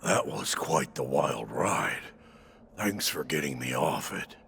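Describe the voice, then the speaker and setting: An actor playing a boastful, melodramatic warrior who wanders between dimensions, speaking in a grandiose, florid, theatrical way with a gravelly voice in a video game. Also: male voice, animated, takes it slowly, a little hiss or hum, full character voice. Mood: boastful, dramatic. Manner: grandiose, florid, swinging from hushed to booming.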